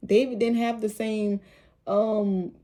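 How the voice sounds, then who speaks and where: A woman with a low, soothing voice, speaking casually and with rambling repeats as she talks about faith on her vlog. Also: level tone, slow, mellow. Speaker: vlogger.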